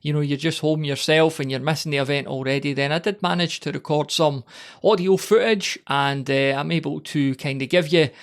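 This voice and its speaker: A man's voice: a little high, fairly quick. A man, chatting casually as a podcast host and interviewer. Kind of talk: casual chat.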